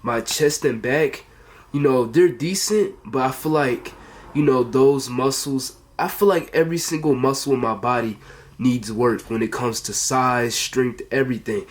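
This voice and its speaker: A man's voice: medium pitch, measured pace. A teenage male with an American accent chatting casually to camera in an earnest, rambling and repetitive way. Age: teenager, under 18. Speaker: fitness vlogger. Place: bedroom at home, indoors.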